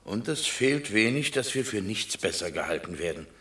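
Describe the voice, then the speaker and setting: An actor playing a grumbling Roman commoner with a gravelly voice in a classic radio drama. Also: male voice, lowish voice, sing-song, moderate pace, a bit bright.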